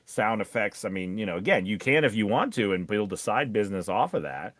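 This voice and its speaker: A man coaching in a conversational live discussion, explaining in a halting way with repeated words. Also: lowish voice, lively intonation, fairly bright tone, no echo. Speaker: voiceover coach and co-host.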